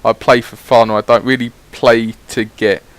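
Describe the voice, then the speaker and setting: A man's voice: medium pitch, level tone, moderate pace, clear, resonant tone, no room sound. A man giving casual, rambling voice-over commentary on his gameplay, swearing freely as he chats. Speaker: gaming YouTuber.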